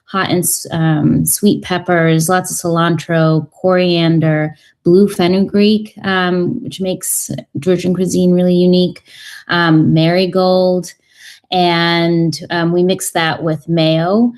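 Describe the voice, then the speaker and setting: A woman's voice: on the low side, flat delivery, takes it slowly, a little mellow. A woman in her thirties, a cookbook author guest on a radio show, talking in a thoughtful way with many ums and uhs. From United States. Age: 30s. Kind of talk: radio interview.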